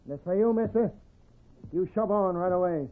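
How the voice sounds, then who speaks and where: An actor playing a stubborn, greedy boss of gold-seeking settlers in a 1940s radio western, gruff, bossy and defiant. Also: male voice, high-pitched, moderate pace, natural voice. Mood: bossy, irritated. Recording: tinny, noisy 1940s radio recording.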